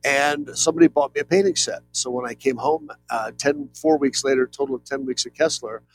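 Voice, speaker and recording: A man's voice: mid-range voice, a little fast, a little hiss or hum, poor audio. A man in his late fifties, a painter giving an interview, talking in an earnest, rambling way with many fillers. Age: 50s. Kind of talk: reflective conversational interview.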